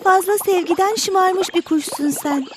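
A cheeky little fish in a children's cartoon. The voice is extremely high and chiding, with faint noise behind it. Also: female voice, measured pace. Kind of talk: children's educational cartoon acting.

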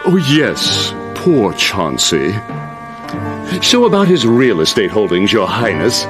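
A villainous royal advisor in a campy game cutscene. He drawls slowly in a low, wildly swinging voice, sneering and falsely polite, relishing his gloating, with faint music behind. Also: big pitch swings. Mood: unctuous. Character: scheming, gloating villainous royal advisor, middle-aged man.

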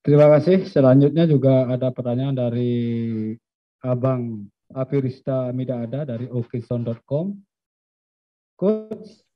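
A man moderating a press conference, briskly reading out reporters' names and their questions. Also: mid-range voice. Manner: brisk, announcing names and outlets. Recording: dry, dull lo-fi stream audio.

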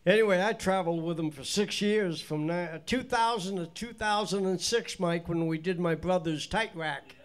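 A man's voice: sits high, moderate pace, hardly any pauses. An older man with a cold and a stuffed-up nose, hosting a live country music show in casual, rambling, folksy chat at a stand mic on a small stage. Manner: rambling, chatty, folksy with restarts. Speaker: bandleader and show host. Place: small indoor stage studio.

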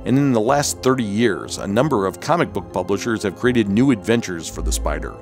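A man's voice: lowish voice, animated, brisk, faint music behind. A man hosting and narrating a scripted documentary-style video.

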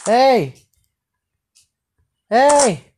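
A woman's voice: on the high side, big pitch swings, drawn-out words. A woman improvising a game roleplay as she plays, often hesitating and repeating words. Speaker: gamer playing a Minecraft roleplay. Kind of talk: improvised roleplay gameplay commentary. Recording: noisy phone gameplay recording with clicks.

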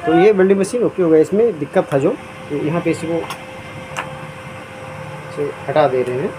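A man's voice: a little high, animated, normal speed, background noise. A man explaining a welding machine repair step by step, speaking casually at his workbench. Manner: plain step-by-step explaining while working. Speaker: electronics repair technician. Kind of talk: casual repair tutorial narration. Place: repair workshop bench.

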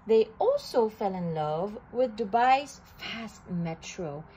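Slow, lively woman reading a storybook. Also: mid-range voice, a little hiss or hum, poor audio. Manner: slow, animated storytelling with occasional restarts. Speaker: storybook reader. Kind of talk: children's read-aloud.

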